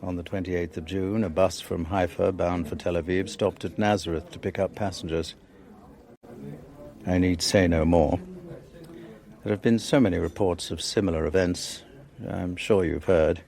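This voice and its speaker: An actor in a radio drama playing a composed, skeptical interrogator, speaking in a deliberate, dry and pointed way. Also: male voice, deep voice, fairly even intonation, gravelly, a little mellow. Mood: grave recounting. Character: probing, skeptical, composed male interrogator and narrator.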